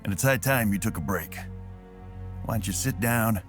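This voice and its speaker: A man playing a steady, practical, considerate fighter in a video game. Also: lowish voice, moderate pace, faint music behind, close to an everyday voice.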